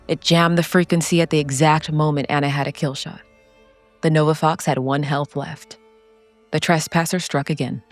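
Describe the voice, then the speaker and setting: A woman narrating a scripted, dramatic solo role-playing story in a solemn, deliberate way with short phrases. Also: low voice. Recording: background music under the voice.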